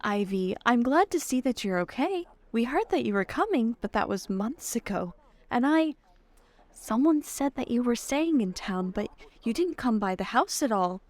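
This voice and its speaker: A woman playing a concerned, disapproving aristocratic lady in improvised tabletop roleplay, formal and haltingly polite as she probes. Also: a little high, lively intonation, lightly acted. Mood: disappointed.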